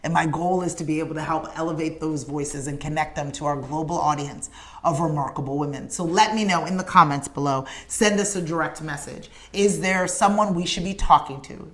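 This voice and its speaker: A woman's voice: deep voice, a bit bright. A woman hosting a morning livestream show, making an earnest, emphatic appeal to viewers in a repetitive rallying cadence. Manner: addresses viewers in comments by name. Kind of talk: direct-to-camera livestream appeal.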